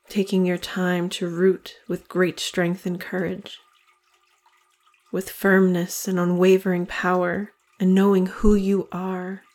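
A woman leading a guided meditation in slow, deliberate phrases with long pauses between them. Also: fairly low pitch, darkish tone.

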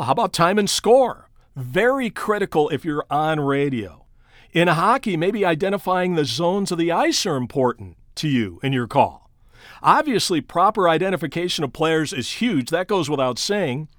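A man with a slightly high, very animated voice delivers a podcast lesson like a coach. He speaks emphatically and throws rhetorical questions at the listener. The sound is dry and close on the mic. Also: no room sound. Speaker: podcast host and sports play-by-play broadcaster. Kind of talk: instructional podcast monologue addressed to aspiring broadcasters.

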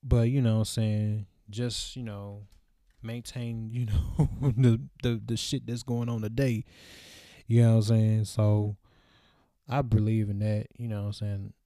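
Young man in his 20s, low mellow voice, casual rambling vlogger, dry close sound. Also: little pitch movement, unhurried, dry recording. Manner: loose, reflective, lots of fillers.

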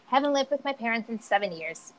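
Young woman, native Portuguese speaker with a light Brazilian accent, slightly high voice, casual rambling chat. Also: expressive, quick pace, slight background noise, rough recording. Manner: chatty, lots of fillers like "like" and "um".

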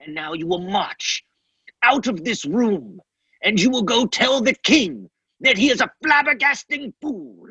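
Guy doing a smug snooty royal heir with a fake polish accent, swoopy high voice, dry little room sound. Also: animated, unhurried, sharp tone, husky, dry recording, muffled recording, full character voice. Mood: commanding. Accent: put-on Polish. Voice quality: puffed-up, snooty, theatrical. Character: scheming, smug young royal heir William.

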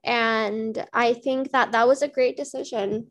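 A young woman from Pakistan speaks as an interview guest. Her voice sits a little high, and she talks earnestly, pausing often to gather her thoughts. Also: fairly even intonation. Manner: earnest, many "um" pauses. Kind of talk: casual interview answers.

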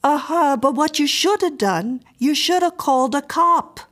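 Female reader as streetwise young woman, raised pitch, lively swings, blunt, slangy, familiar, storybook. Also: measured pace. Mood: practical. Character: brassy, streetwise young woman, bossy but consoling. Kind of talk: audiobook character acting.

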